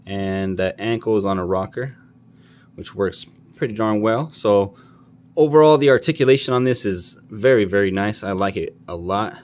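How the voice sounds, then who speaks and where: Man, lowish voice, casual reviewer, informal, enthusiastic, slangy. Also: moderate pace, a little mellow. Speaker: toy reviewer, host.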